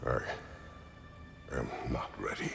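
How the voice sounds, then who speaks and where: An actor playing a stern, weary old warrior in a video game, speaking tersely and gravely in a gravelly voice. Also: male voice, extremely slow, music in the background. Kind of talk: video game cutscene acting.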